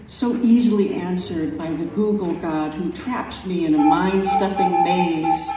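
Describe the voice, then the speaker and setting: A woman poet reading her own work at a live event, in measured, deliberate phrases with pauses. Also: mid-range voice. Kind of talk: poetry reading at a live event, with brief spoken introductions. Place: echoey room at an arts event. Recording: very echoey room, distant mic, music.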